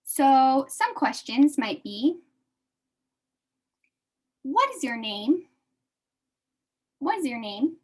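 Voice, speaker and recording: Slow, careful American woman teacher. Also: high voice, very animated. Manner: slow, careful, repeats phrases for learners. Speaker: English teacher. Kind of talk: slow English lesson for adult learners.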